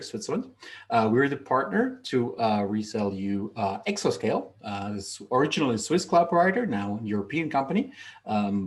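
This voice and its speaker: A middle-aged man, presenting his company's services in a webinar over a video call, with many ums and uhs and restarts. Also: on the low side. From Finland. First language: Finnish. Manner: frequent um and uh fillers, restarts mid-phrase. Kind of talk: product presentation.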